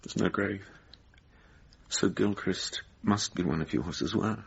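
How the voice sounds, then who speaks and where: Male radio drama role: brittle, doubting lover, gravelly and hoarse-edged, halting delivery, muffled sound. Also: sits low, full character voice. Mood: bitter, accusing. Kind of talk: naturalistic radio drama acting.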